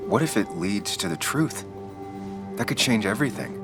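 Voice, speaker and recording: Brooding, very low-voiced ex-journalist. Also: male voice, lively intonation, moderate pace, loud music behind the voice. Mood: reluctant, resolved. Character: brooding ex-journalist man back in his hometown, guarded, wry. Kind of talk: naturalistic video game acting.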